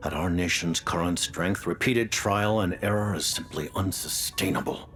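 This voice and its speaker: An actor playing a grave, stately older leader in a video game, speaking formally and solemnly in a gravelly voice. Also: male voice, moderate pace, a bit bright, faint music behind. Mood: grave, commanding. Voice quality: deep, gravelly. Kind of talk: theatrical video game voice acting.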